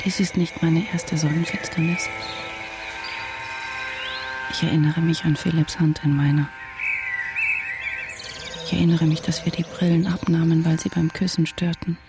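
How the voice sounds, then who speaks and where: An actress playing a brooding thirty-seven-year-old wife and mother in a radio drama, narrating her thoughts in a hushed, deliberate way. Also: low-pitched, little pitch movement, music in the background. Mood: bleak, hushed. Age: 30s. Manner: hushed, deliberate, short sentences, sometimes near whisper. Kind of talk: literary radio drama monologue, first person.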